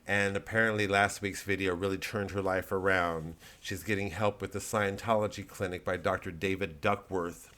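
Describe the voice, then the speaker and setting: A man who works as a comedian, talking in a casual, rambling vlog monologue with a deadpan delivery. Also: on the low side. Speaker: comedian promoting his live show.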